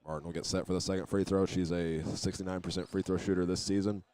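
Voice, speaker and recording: A man doing live play-by-play of a high school basketball game, sometimes restarting his phrases, with the sounds of the game behind him. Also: level tone, fast, mellow. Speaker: play-by-play announcer.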